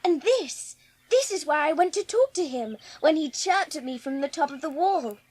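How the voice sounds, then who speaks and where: High-voiced, eager little English girl. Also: lively intonation, moderate pace, darkish tone. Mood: excited, eager. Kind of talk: dramatised audiobook acting. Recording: noticeable ambient background noise.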